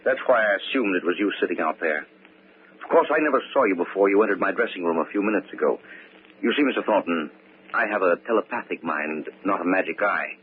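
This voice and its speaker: An actor playing a composed nightclub mind reader in an old-time radio mystery, explaining in a measured, dramatic way. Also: male voice, low voice, expressive, narrow, tinny audio. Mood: calm insistence. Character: composed mind-reading nightclub mentalist revealing a plot. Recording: thin, noisy old radio recording.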